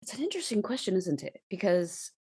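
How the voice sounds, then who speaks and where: A woman playing a tabletop roleplaying game, talking through ideas and scenes in measured, reflective phrases. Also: medium pitch, very animated, a little mellow, husky. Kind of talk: thoughtful conversational game talk.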